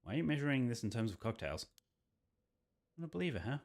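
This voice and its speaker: A man's voice: mid-range voice, big pitch swings, fast talker, gravelly, a little mellow. A man voicing chatty, teasing visual novel characters. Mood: playful, questioning. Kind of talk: reading visual novel dialogue aloud. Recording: game music behind the voice.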